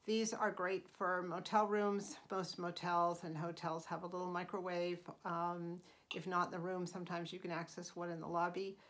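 A middle-aged woman hosting her own channel, talking through casual tips in an unhurried, chatty way with frequent ums and uhs. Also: on the low side, little pitch movement, fairly bright tone. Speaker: channel host. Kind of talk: casual vlog voice-over.

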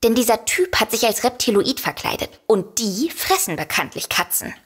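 A woman's voice: on the high side, very animated, normal speed, slightly rough. An actress playing a composed, sensible but worried woman novelist, explaining things earnestly in an audio drama. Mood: worried, indignant.

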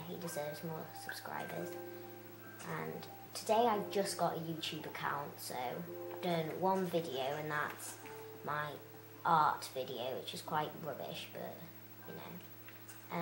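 A young girl rambles through her let's play with plenty of fillers, her voice croaky and gravelly. She talks low for her age. The room around her sounds echoey. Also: expressive, unhurried, music in the background. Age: child. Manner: chatty, rambling, many fillers. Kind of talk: casual game commentary.

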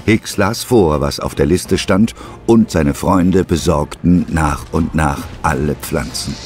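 A man's voice: a bass voice, slow, darkish tone. A man narrating an adventure story in a scripted storytelling style.

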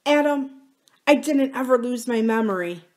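A woman voicing a sweetly pleading, manipulative young woman in an audiobook, with soft, drawn-out phrases. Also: on the high side, expressive, voice pushed up, lightly acted. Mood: coaxing, confiding. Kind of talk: audiobook character dialogue.

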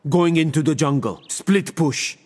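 An actor playing a serene, smugly wise seer deity in a video game, speaking in a formal, measured, theatrical manner. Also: male voice, on the high side, a little mellow, in a large hall. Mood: composed, tactical. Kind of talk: video game character voice lines.

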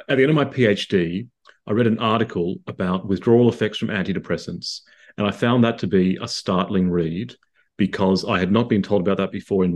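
A man's voice: low voice, a little mellow. A man in his thirties with an Australian accent, a psychiatrist answering interview questions over a video call, articulate and explanatory with frequent restarts. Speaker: psychiatrist guest. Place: home room indoors.

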